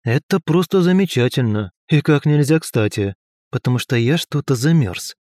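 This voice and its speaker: A brisk young prince who shrugs things off, voiced by a male reader. He talks in a mellow, darker tone at a middle pitch. The sound is dry and close. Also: lively intonation, dry recording, close to an everyday voice. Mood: plain. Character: young prince, brisk, modest, slightly unwell. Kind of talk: audiobook character voice.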